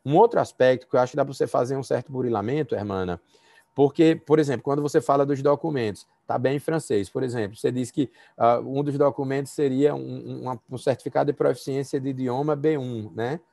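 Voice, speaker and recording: A man's voice: medium pitch, fast, gravelly, warm tone, no echo, studio quality. A Brazilian man, a law professor, giving a conversational university lecture and feedback over a video call, with frequent fillers and restarts.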